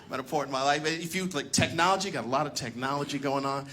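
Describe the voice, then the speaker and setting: A man in his fifties doing a stand-up comedy set on a club stage, rambling and repeating himself, getting animated as he acts out stories. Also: a little high, quick pace, slight background noise. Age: 50s. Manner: rambling, repetitive, animated when acting out stories.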